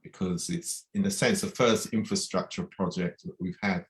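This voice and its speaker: A man from the UK hosting an online community event, speaking in a measured way with repeated restarts. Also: deep voice, fairly even intonation. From United Kingdom. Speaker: host.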